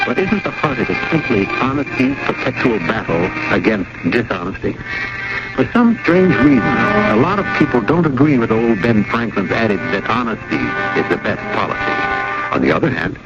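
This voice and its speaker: A man hosting a radio drama, narrating scripted suspense in a wry, rhetorical way. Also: fairly low pitch. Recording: dull lo-fi old radio with loud music.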